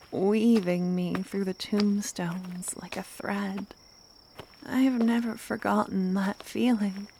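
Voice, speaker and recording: A woman playing a loving, obsessive cultist kidnapper in a soft-spoken ASMR roleplay, sweetly menacing, breathy and often whispering. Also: mid-range voice, a little hiss or hum. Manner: slow, tender, intimate coaxing. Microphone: close ASMR microphone.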